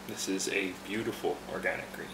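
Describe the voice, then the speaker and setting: A man with a fairly low voice demonstrates tea brewing from behind a bar, chatty and relaxed, often pausing with fillers. The room rings with echo, and steady background noise sits under his voice. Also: creaky. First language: English. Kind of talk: casual instructional demonstration.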